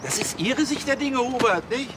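An actor playing an earnest, opinionated police officer in a TV crime comedy, talking in a formal, lecturing way. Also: male voice, very high-pitched, lively intonation, normal speed, background noise.

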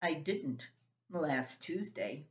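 A woman's voice: deep voice, expressive, extremely slow, pitched below the performer's own voice, exaggerated voice. A stubborn ten-year-old boy in a family story , voiced by an older woman, answers back in an offhand, defiant way. The sound is roomy and echoey.

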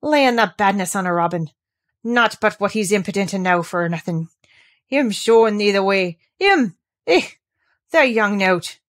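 Gruff, tactless old Yorkshire gardener, shaky and hoarse, voiced by a woman reader. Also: medium pitch, animated, a little slow, natural voice. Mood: scolding. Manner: blunt dialect, shaky and hoarse.

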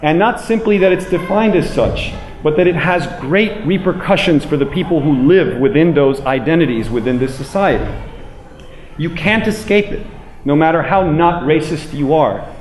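American guy giving a talk, deliberate and emphatic, echoey room, bit of background noise. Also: a little high. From United States. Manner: emphatic, deliberate, repeating phrases for weight. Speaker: public speaker. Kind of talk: public lecture. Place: indoor room with plain walls, speaking to an audience. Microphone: handheld microphone, later a small clip-on mic. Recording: roomy echo, clear background noise.

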